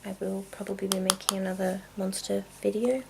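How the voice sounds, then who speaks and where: A woman chatting casually in a soft, mostly whispered ASMR style, rambling along, with tapping and clicking from small containers. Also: medium pitch, little pitch movement, a little fast, creaky. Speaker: video creator and host. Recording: noticeable noise, clicking sounds. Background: tapping and clicking of makeup containers.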